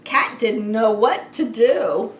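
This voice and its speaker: A woman reading a picture book aloud to young children as a preschool story time host, expressive and unhurried, drawing the listeners in. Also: a little high. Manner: animated, unhurried, asks the child listeners questions. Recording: roomy echo with faint background noise.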